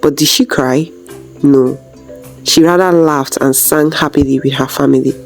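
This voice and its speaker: A woman's voice: deep voice, fairly even intonation, moderate pace, faint music behind. A woman narrating a scripted folktale as a storyteller.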